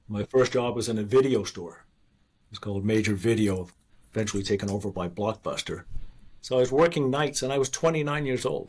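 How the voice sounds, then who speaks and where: A man in his sixties from Canada, a former investor being interviewed, telling his story in a candid, earnest way. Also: medium pitch. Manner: candid, earnest, restarts and self-deprecating laughs. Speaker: guest, former investor and author.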